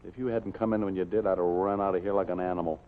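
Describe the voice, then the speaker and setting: An actor playing an intense, haunted newspaper columnist with an American accent, urgent and emphatic, in a 1950s television drama. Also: male voice, low voice, fairly even intonation, rapid-fire, a little hiss or hum. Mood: shaken, resolute. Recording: dull, muffled 1950s television soundtrack.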